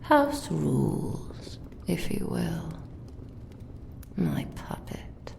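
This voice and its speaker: A woman playing a cruel, possessive demonic dark mage in a menacing, formal ASMR roleplay, breathy and gravelly. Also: sits low, big pitch swings, heavily acted. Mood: possessive, threatening. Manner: menacing, taunting, formal and deliberate. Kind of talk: roleplay ASMR, villain monologue.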